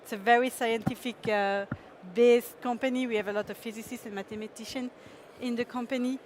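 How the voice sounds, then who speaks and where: Presenter, woman, higher voice, hesitant with fillers, faint street noise behind. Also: steady tone, a little fast, darkish tone. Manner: hesitant, frequent fillers, laughs easily. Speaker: company presenter. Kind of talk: startup pitch presentation.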